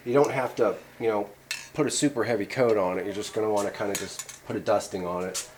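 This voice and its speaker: A man's voice: fairly low pitch, fairly even intonation, fast, darkish tone, a little hiss or hum. A man in a home workshop giving a rambling, casual how-to talk with many fillers. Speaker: channel host demonstrating a repair.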